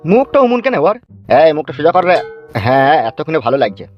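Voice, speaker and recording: A brash, pushy cartoon village dad acted out by a man, high-pitched and quick-talking. The recording is dull and lo-fi, with comic dialogue over music. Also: very animated. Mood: chatty, pushy. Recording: dull lo-fi audio with music underneath.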